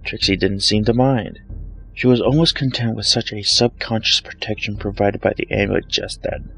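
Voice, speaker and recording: Creaky-voiced man narrating a dramatic story. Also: fairly even intonation, moderate pace, low-quality recording. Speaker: fan fiction reader, narrator. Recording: low-grade home microphone, music bed. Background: soft dramatic background music.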